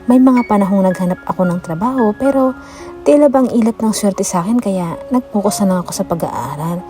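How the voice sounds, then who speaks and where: A woman's voice: medium pitch, animated, fairly quick, dark tone, close to an everyday voice. A woman voicing a determined, hopeful young eldest daughter in an audiobook, earnest and reflective. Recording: music behind the voice.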